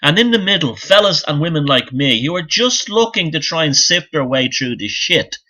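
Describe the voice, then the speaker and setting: Bright-voiced man in an opinionated monologue. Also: on the high side, lively intonation, normal speed. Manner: blunt, sweary, scornful. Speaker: YouTube commentator and author.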